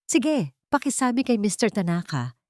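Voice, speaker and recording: A woman narrator with a Filipino accent voicing a kind, composed businesswoman and mother in a story, polite and gentle. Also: fairly high pitch, very animated, normal speed, no echo, studio quality, pitched above the performer's own voice. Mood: pleasant.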